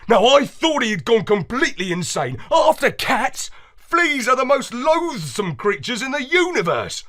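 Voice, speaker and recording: A woman narrating a children's story with an expressive storybook cadence. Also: fairly high pitch, moderate pace.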